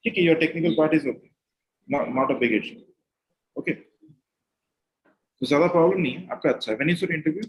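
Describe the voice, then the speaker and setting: Indian guy doing mock interview coaching, talks fast, kinda instructive, rephrases stuff. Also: on the high side, rough recording. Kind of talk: interview questioning and feedback, switching between two languages.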